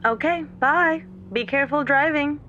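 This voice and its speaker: An actress playing a caring, upbeat friend on a phone call in an audio drama. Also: female voice, sits high, normal speed, sharp tone, a little hiss or hum. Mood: friendly, concerned.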